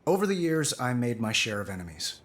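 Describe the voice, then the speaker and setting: An actor playing a weary, wisecracking superhero tycoon in a video game, dry and flippant. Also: male voice, mid-range voice, sing-song, fairly quick, loud music behind the voice, natural voice. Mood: wry narration. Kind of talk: cinematic video game narration and dialogue.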